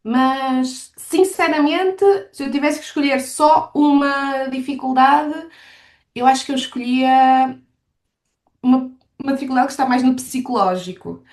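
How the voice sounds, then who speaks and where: Woman with higher-pitched voice, echoey room. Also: unhurried. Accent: European Portuguese. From Portugal. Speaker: Portuguese language teacher, podcast guest. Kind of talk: conversational interview answers. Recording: roomy, echoey sound.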